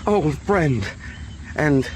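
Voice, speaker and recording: An actor playing a kind, courteous freed soldier in a radio drama, with an understated manner. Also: male voice, a little high, expressive, very slow, a little hiss or hum. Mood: warm reunion.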